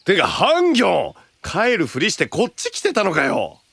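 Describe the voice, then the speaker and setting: A gruff, easily irritated young knight in a fantasy voice drama. His grumbling swings wildly in pitch, recorded close and dry. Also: wildly swooping pitch, moderate pace, a bit bright, no echo, full character voice. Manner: rough-spoken, grumbling. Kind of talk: Japanese anime-style voice drama acting.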